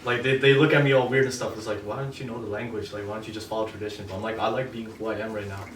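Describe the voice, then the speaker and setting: A man's voice: on the low side, level tone, very fast. A young man in a casual group discussion, talking in a breezy, slangy way. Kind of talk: casual chat. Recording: roomy, from a distance, faint noise.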